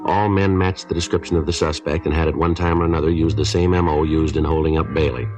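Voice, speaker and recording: Stoic police detective, American, deep pitch, fast clipped delivery, deadpan, vintage radio drama. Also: male voice. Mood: matter-of-fact. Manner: deadpan, terse. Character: stoic, matter-of-fact male police detective. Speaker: actor narrating and playing the lead. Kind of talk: 1950s radio drama, clipped procedural narration. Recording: old radio recording, faint music.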